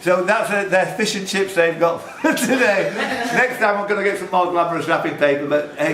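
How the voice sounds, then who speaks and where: A man's voice: high voice, a little fast. A man hosting a live quiz in a pub, reading out questions and bantering jokingly with the contestants. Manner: joking, chatty, teasing contestants. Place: indoors in a pub. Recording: roomy, noisy pub recording.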